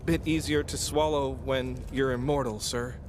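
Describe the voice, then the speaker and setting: A man playing a deferential young recruit, loud noise. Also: mid-range voice, fairly even intonation, moderate pace, close to an everyday voice. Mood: nervous, respectful. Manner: deferential, brief replies. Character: nervous young male recruit, deferential to his general. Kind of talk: video game cutscene acting.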